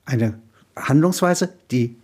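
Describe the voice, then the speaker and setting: A man's voice: medium pitch, animated, slow, a little mellow. An older man, an author, speaking reflectively and discursively in an explanatory interview-style commentary. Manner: discursive, explanatory, punctuated with short confirming tags.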